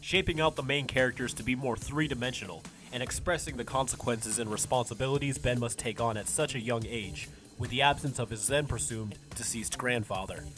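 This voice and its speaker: A man's voice: medium pitch, fairly quick. A young man delivering scripted narration as a video essayist. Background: faint music.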